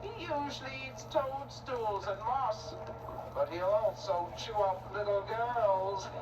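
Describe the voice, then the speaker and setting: A woman's voice: a little high, takes it slowly, far from the mic, music in the background, rough recording. A woman playing a scrawny, cruel, gleeful old witch in a children's story, drawing out gloating, cackling threats. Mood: bossy, menacing. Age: older. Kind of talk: theatrical children's storybook acting.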